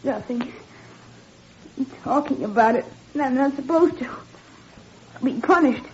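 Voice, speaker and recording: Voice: high-pitched, a little slow. A young performer playing a sensitive, hesitant half-French schoolboy in 1940s radio drama style. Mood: upset, plaintive. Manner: plaintive, hesitant. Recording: muffled, noisy 1940s radio recording.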